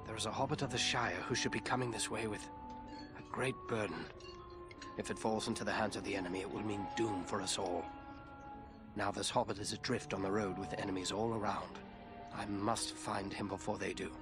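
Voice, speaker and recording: An actor playing a grave, watchful ranger chieftain in a fantasy game, speaking in a measured, earnest way. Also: male voice, lowish voice, fairly even intonation, music in the background, close to an everyday voice.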